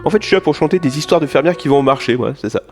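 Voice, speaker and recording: A man's voice: fairly high pitch, expressive, racing, soft background music. A man playing a grumbling singer of silly countryside ditties in a comic audio drama, with a sing-song delivery.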